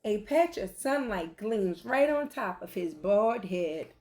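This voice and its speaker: A woman reading a picture book aloud like a teacher, with animated, deliberate delivery and questions for young listeners. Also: medium pitch. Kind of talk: expressive children's read-aloud with questions to listeners.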